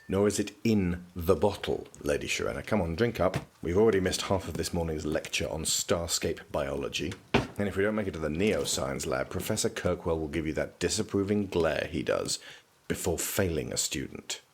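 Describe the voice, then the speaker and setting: An actor playing a reserved, exasperated young prince and student in an audio drama, speaking formally and drily. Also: male voice, low-pitched, normal speed, background noise. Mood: dry, stern. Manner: formal, clipped, deadpan.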